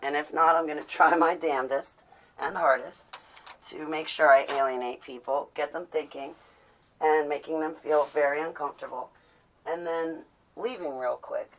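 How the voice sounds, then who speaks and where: Opinionated woman ranting, slightly low-pitched. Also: fairly even intonation, normal speed, rough recording. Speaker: vlogger. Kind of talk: casual rambling monologue.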